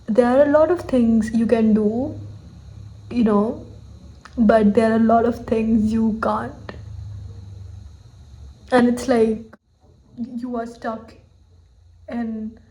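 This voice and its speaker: A woman's voice: fairly high pitch, fairly even intonation, slow, pauses often, dark tone, echoey room, poor audio. A woman in her twenties with an Indian English accent, thinking aloud candidly in a personal video journal, often saying "like" and "you know". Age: 20s. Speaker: vlogger.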